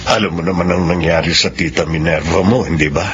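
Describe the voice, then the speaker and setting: A man's voice: deep voice, steady tone, fairly quick, fairly bright tone. A man playing a caring, middle-aged father and protective uncle in a Filipino radio drama. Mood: sorrowful. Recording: muffled audio with clear background noise.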